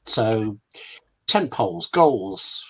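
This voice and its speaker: A man's voice: fairly low pitch, animated, extremely slow, gravelly, a bit bright, rough recording. A man answering interview questions as a scriptwriter guest on an online webinar, candid and self-deprecating, speaking in short emphatic phrases.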